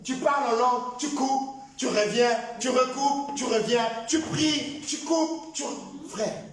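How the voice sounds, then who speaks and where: Man preaching loudly in an echoey hall. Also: extremely high pitch, a little slow, recorded from a distance, a little hiss or hum. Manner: preaching with shouted emphasis, acting out prayers. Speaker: pastor. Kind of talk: sermon.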